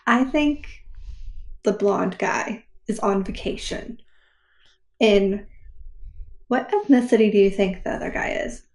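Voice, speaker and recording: A woman's voice: mid-range voice, slow, long pauses, a little mellow. A woman co-hosting a podcast, chatting casually about books in a roomy, echoey recording. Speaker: podcast co-host. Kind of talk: casual book chat.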